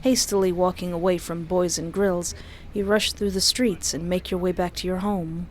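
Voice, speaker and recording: A woman reading a visual novel aloud as a streamer, with expressive, storyteller-like narration and a playful manner. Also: on the low side, normal speed, slight background noise. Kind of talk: expressive scripted narration with casual asides.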